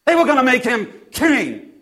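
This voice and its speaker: A man performs an impulsive disciple in a dramatic first-person Bible monologue. His voice sits high for a man. He stumbles and repeats phrases for emphasis, moving between hushed storytelling and loud, emotional outbursts. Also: huge pitch swings, breathy edge, full character voice. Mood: angry, fed up. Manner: halting, repeating phrases, swinging from hushed to shouting.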